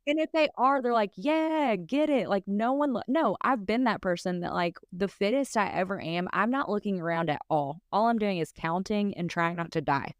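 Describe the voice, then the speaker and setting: A woman's voice: medium pitch, normal speed, few pauses, smooth, no echo. A woman in her thirties co-hosting a podcast, talking in a candid, casual way with lots of fillers and bits of laughter. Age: 30s. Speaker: podcast co-host. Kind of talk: casual chat.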